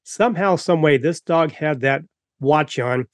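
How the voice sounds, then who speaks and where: Man in his fifties, podcast host, earnest, rambling, fairly high voice, dry close sound. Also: a little slow, no room sound. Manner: earnest, rambling, with frequent restarts. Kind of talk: enthusiastic podcast introduction. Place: home room, video call.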